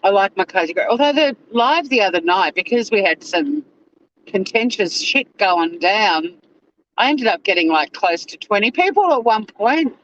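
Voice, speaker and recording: A high-voiced Australian man chats away to his livestream viewers, animated and loose-tongued, with a slightly whiny edge to his voice. The sound is dull and lo-fi, with a faint hiss underneath. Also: moderate pace. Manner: chatty, animated, swears freely. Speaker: livestream host. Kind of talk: casual livestream chat to viewers.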